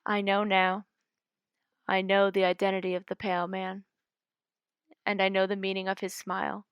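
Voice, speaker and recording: A woman reading a scary short story aloud in a measured, dramatic way. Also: medium pitch, monotone, clear, resonant tone, no room sound, studio quality. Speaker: audiobook reader. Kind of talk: scripted story narration.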